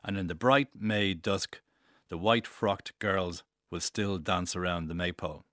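A man from Ireland reads his literary essay with wry composure. His voice is very deep, and the recording is dry and close. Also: a little slow, a bit bright, no echo. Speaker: essayist reading his own piece. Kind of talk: scripted literary reading.

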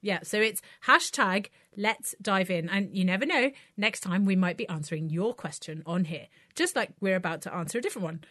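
A woman's voice: medium pitch, expressive, brisk, few pauses, bright, forceful tone, no echo, studio quality. A woman from Belgium co-hosting a show, teasing her partner with a wry, bouncy delivery. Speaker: co-host. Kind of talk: playful scripted banter.

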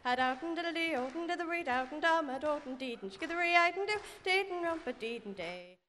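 Lady doing a young girl lilting a reel, real high, bouncy diddle dee stuff, long hall echo and some noise. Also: expressive, brisk, pushed and bright. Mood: lively. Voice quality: high, bouncy rhythmic syllables. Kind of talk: lilting, wordless diddling song. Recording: live stage with long reverb and noise.

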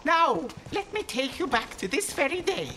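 High-pitched, theatrical Irish leprechaun. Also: female voice, big pitch swings, moderate pace, over a noisy crowd. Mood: cheery, theatrical. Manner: theatrical, rambling storytelling. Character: chatty, theatrical little leprechaun storyteller. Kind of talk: children's comedy character acting.

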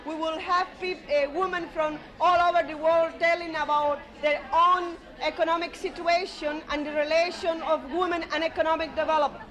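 Female speaker, high voice, announcing a workshop, slightly halting. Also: steady tone, a bit bright, a faint crowd. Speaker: workshop organiser.

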